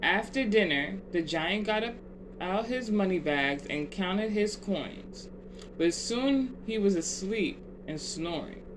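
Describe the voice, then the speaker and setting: A read-aloud narrator tells a bedtime story at a slow pace. The pitch sits in the middle and the phrasing is measured. There is clear noise in the background. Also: expressive.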